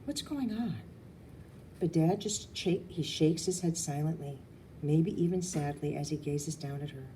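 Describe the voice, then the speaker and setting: A woman reading a children's novel aloud, an expressive narrator with dramatic emphasis. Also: low-pitched, moderate pace, slight background noise. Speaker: audiobook reader. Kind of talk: expressive storybook narration, first person as a girl.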